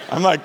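A middle-aged male pastor preaching a sermon, telling a personal story with frequent chuckles. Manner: animated storyteller, chuckles often. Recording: reverberant hall.

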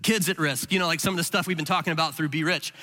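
Fast-talking man preaching in a reverberant hall. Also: fairly high pitch, steady tone, sharp tone, faint music behind. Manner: conversational, rambling with restarts. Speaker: pastor. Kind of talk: sermon storytelling. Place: large hall with audience.

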